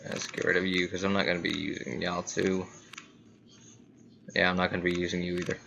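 A man's voice: deep voice, level tone, brisk, pushed and bright. A man giving casual, rambling gameplay commentary, talking to viewers and to himself. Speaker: gamer commentating own gameplay. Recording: clearly noisy amateur recording.

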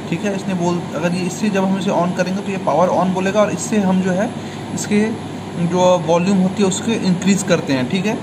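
A young man gives an informal how-to tutorial, often adding a short checking tag after each explanation. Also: high voice. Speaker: video tutorial host. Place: indoors at a table. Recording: noisy room, clear background hum.

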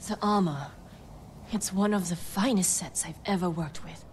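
A woman's voice: lowish voice, normal speed, a little mellow, a little hiss or hum, lightly acted. A woman playing a proud, obliging armourer in a video game, respectful and assured. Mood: proud, helpful. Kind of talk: dramatic video game acting.

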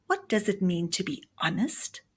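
A woman reading the part of a kind, patient primary school teacher in a children's storybook, gently explaining. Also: medium pitch, very animated, normal speed, slightly rough.

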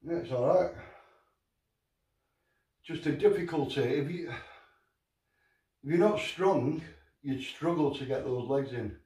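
A man's voice: fairly high pitch, takes it slowly, pauses often, warm tone. A middle-aged man with a Northern English accent, casually reviewing camping gear in his living room, rambling and matter-of-fact. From England. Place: living room at home, indoors. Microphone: far camera on a tripod. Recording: roomy, distant camera audio, faint noise.

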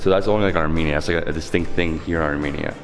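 A man in his thirties vlogging casually with a camera at arm's length, excitable and chatty, outdoors and inside a stone church. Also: very deep voice, very fast, long reverb tail, faint music behind. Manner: excitable, exclaims often, chatty. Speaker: travel vlogger. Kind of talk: casual travel vlog narration. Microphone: camera held at arm's length.

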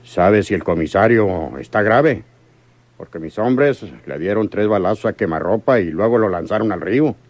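An actor in a radio drama playing a ruthless, commanding crime boss who speaks curtly and questions like an interrogator. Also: male voice, fairly low pitch, animated, normal speed, a little mellow. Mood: stern, probing. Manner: curt, interrogating, domineering. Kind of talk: radionovela acting.

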